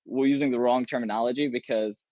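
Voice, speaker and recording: A man's voice: mid-range voice, fast, dry recording. Young man, Arabic native, video call. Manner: animated, gestures a lot, many restarts. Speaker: guest software engineer. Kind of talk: casual interview talk. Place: small room at home. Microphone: earbuds on a video call. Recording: dull, slightly lo-fi video call audio.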